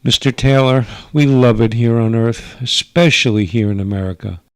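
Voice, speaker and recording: A man's voice: medium pitch, fairly even intonation, clear, resonant tone, no echo, clean studio sound, lightly acted. A man voicing a stern, earnest alien mother in a radio drama, speaking formally and carefully, pleading for her people. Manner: formal, careful foreigner's wording, halting for words. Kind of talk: radio drama narration with character voices.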